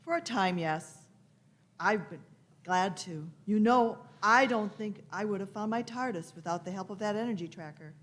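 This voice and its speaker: A woman playing a shrewd, teasing time-traveling doctor in a radio play, deliberate and knowing. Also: medium pitch, natural voice. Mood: wry, knowing. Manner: deliberate, knowing, punctuated with hmms. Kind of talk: live radio-play acting.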